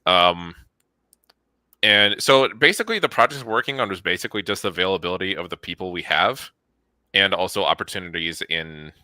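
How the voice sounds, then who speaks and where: A man's voice: low-pitched, fairly quick, sharp tone, no echo. A middle-aged man explaining his work as an interview guest, informal and enthusiastic. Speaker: interview guest, studio manager. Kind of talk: casual explanatory chat.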